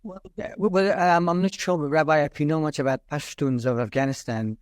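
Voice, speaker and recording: A man's voice: fairly high pitch, steady tone, fairly quick, no echo, studio quality. A man interviewing a rabbi, asking long, hesitant questions. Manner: hesitant, many fillers and restarts.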